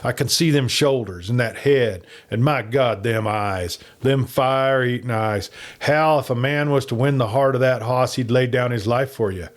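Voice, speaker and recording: A man playing a gruff, yearning cowboy outlaw. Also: normal speed, natural voice. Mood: soft yearning. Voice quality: bass, growling.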